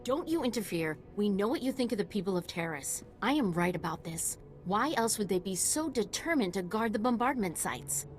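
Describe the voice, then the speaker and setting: A woman's voice: medium pitch, animated, moderate pace, few pauses, faint music behind, natural voice. A woman playing a passionate, combative researcher in a video game, earnest and emphatic. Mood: defiant.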